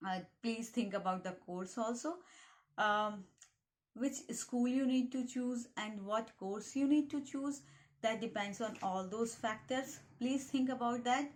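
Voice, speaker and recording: A woman from Delhi with an Indian English accent, a native Hindi speaker, giving earnest, repeated advice as a vlogger. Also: on the high side. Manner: earnest and advising, repeats pleas to prepare. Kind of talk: casual advice talk to camera.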